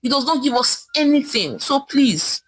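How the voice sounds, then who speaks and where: A woman's voice: sits high, lively intonation, normal speed, pitched above the performer's own voice. A woman voicing a grasping sister with a Nigerian accent, wheedling for money. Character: grasping adult sister of a rich man.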